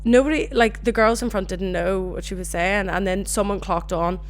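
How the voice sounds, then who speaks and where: A young Northern Irish woman hosting her own podcast, chatting casually and telling animated stories. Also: mid-range voice, moderate pace. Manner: chatty, animated storytelling with swearing. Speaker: podcast host. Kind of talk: casual solo chat.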